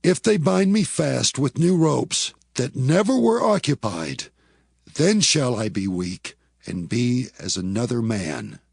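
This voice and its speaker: An actor playing a mighty, grave Israelite strongman in a Bible audio drama, gravelly and husky, speaking slow, archaic lines deliberately. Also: mid-range voice, big pitch swings, darkish tone, no echo, studio quality, exaggerated voice. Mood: guarded, deliberate.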